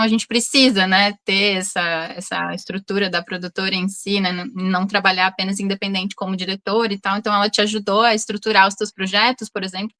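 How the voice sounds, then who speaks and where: A woman's voice: mid-range voice, bright, forceful tone, no echo. A woman with a Brazilian Portuguese accent hosting an informal interview and asking questions. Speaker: interview show host.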